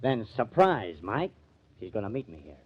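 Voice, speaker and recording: An actor playing a glib, smitten, self-important small-time press agent in a 1950s radio drama. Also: male voice, fairly low pitch, very animated, normal speed, breathy edge. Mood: smitten, smug. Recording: dull lo-fi old radio recording.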